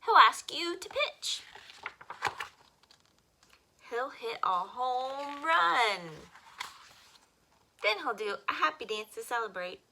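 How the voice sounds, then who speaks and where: A woman reading picture books aloud to young children as a story time host, with expressive, simple phrasing. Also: sits high, slow, long pauses, slight background noise.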